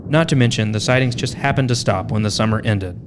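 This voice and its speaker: A man's voice: medium pitch, brisk, background noise. A man hosting a podcast, reading a scripted narration with wry, tongue-in-cheek asides. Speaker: podcast host.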